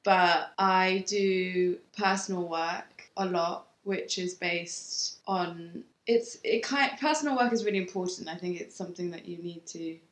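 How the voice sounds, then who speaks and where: A woman photographer, talking about her work in a halting, reflective way with frequent restarts. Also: lowish voice, roomy sound. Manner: halting, thinks aloud with many restarts. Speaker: photographer, interview guest. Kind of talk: reflective casual conversation. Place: home.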